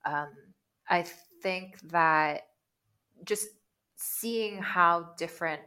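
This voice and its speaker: A woman in her thirties from Canada, a guest chatting casually, animated and full of "like"s. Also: on the low side, drawn-out words, clean tone. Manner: chatty and animated, many "like"s, laughs easily. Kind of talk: casual conversation.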